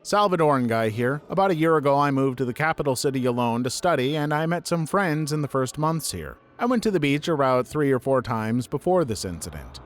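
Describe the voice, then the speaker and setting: Steady male story narrator. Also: medium pitch, brisk, hardly any pauses. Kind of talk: reading online stories aloud.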